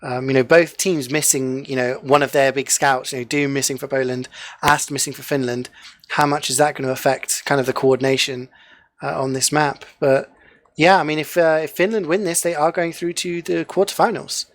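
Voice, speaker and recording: A man casting a live online game match in a relaxed, chatty way, leaning on filler phrases and light jokes. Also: a little high, normal speed. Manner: chatty, heavy use of "you know" fillers, joking. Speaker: esports caster. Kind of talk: casual live game commentary.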